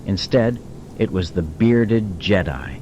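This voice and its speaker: Very deep-voiced male audiobook narrator. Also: expressive, slow pace, a little mellow, dull audio, a little hiss or hum. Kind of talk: dramatic scripted narration.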